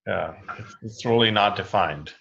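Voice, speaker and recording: Man, low gravelly voice, candid rambling share, lo-fi call sound. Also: moderate pace, fairly bright tone. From India. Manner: candid, rambling, laughs easily. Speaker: participant. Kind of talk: personal sharing. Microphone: video call. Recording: lo-fi web call audio.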